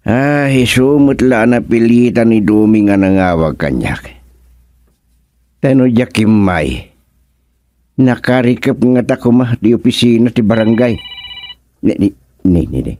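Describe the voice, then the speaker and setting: An actor playing a flustered, slow-witted village man in an Ilocano radio comedy, stammering and exclaiming in an Ilocano accent. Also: medium pitch, animated, warm tone, no echo, clean studio sound, lightly acted. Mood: grumbling.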